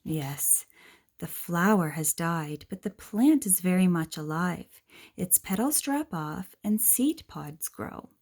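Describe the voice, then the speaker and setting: A woman reads a children's picture book aloud in a gentle, explanatory way, asking questions and adding asides for young listeners. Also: lowish voice, animated, slow pace.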